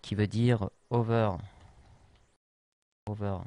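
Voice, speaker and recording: Hesitant low-voiced man. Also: flat delivery, takes it slowly, dark tone, dry recording. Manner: hesitant, many fillers and restarts. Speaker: tutorial presenter. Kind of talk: unscripted screen tutorial.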